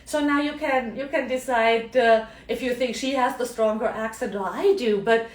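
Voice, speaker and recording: A woman's voice: a little high, moderate pace. A middle-aged woman from Germany with a German accent, a coach talking chattily in a live video, often tagging on "yeah?" and "right?". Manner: chatty, frequent tag questions. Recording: roomy, mic at a distance.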